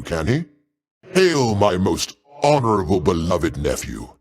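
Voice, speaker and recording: Zeus, a grandiose, sweepingly expressive god king played by a man. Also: medium pitch, natural voice. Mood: commanding, rousing. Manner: grandiose, avuncular, courtly old-fashioned diction. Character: Zeus, mighty older god king, genial doting uncle. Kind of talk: theatrical video game voice acting.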